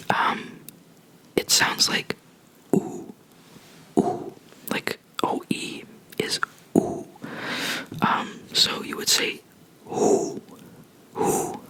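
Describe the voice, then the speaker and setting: A person giving a whispered ASMR language lesson, explaining in a soft, rambling, chatty way close to the microphone. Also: low-quality recording. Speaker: language teacher.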